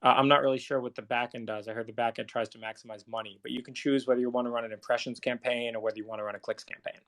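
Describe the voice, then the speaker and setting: A man's voice: mid-range voice, flat delivery, rapid-fire, a bit bright. A man talking casually and technically, like an engineer in a live discussion.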